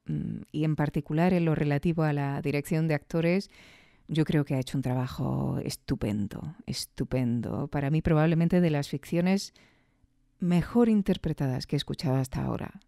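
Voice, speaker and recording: A woman's voice: low voice, gravelly, warm tone, dry recording, clean studio sound. A woman with an accent from Spain, hosting a podcast alone with reflective, emphatic commentary and rhetorical repetition. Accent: Spanish from Spain. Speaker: podcast host and reviewer. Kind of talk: reflective solo commentary on audio fiction.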